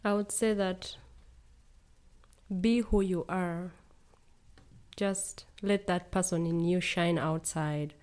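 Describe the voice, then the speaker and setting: A woman's voice: fairly low pitch, steady tone, takes it slowly, pauses often, darkish tone, clear, resonant tone. A young woman from Uganda, a guest in a casual conversation, speaking earnestly and laughing easily.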